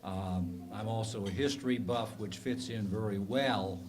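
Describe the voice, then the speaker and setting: A man in his sixties with a bad cold, a retired professor giving a measured, methodical lecture to a club meeting, with frequent ums and uhs. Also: lowish voice. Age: 60s. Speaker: guest speaker, retired professor.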